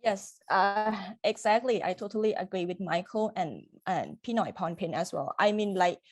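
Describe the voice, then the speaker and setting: A woman's voice: mid-range voice, expressive, normal speed, no room sound, studio quality. A woman giving an unscripted panel answer in loose, filler-strewn phrasing. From Thailand. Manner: loose phrasing with frequent 'like'. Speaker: activist panelist.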